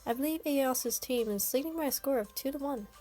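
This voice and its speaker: A woman's voice: sits high, brisk, dark tone, faint music behind, pitched above the performer's own voice, close to an everyday voice. A woman voicing a brash, teasing girl who hates losing.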